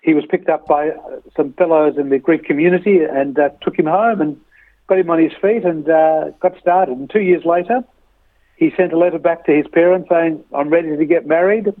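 A man's voice: on the high side, dry recording. Man in his sixties on a tinny phone line. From Australia. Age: 60s. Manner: measured, storytelling, frequent uh pauses. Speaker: interview guest. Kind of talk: reflective interview answers.

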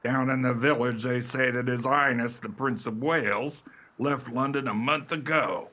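An actor playing a blustery, swaggering pirate captain with rustic speech in a comic radio play. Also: male voice, mid-range voice, fairly even intonation, normal speed, bright, forceful tone. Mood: conspiratorial.

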